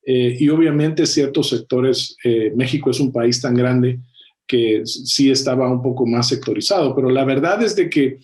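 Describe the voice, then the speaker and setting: A man speaking as a panel guest in an analytical discussion, thoughtful, with many filler pauses and self-corrections. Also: medium pitch, a little mellow.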